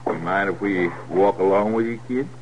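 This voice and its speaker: An American actor playing a sly, mocking outlaw leader with a rustic drawl in a 1950s radio Western. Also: male voice, low-pitched, moderate pace, dull audio, close to an everyday voice. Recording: noisy 1950s radio recording.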